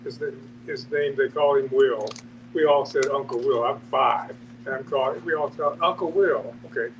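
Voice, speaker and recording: Man, halting reflective storyteller, casual, repeats words, off-mic, clear background noise. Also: mid-range voice, fairly even intonation, recorded from a distance. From Texas, USA. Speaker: discussion participant.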